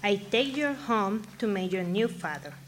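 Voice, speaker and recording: A woman's voice: mid-range voice, animated, unhurried, lightly acted. A woman playing a kindly Mexican mother in a radio drama, speaking broken English mixed with Spanish in a Mexican accent. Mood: warm, motherly. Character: warm Mexican immigrant mother newly adopting two children. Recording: live stage performance, audible background noise.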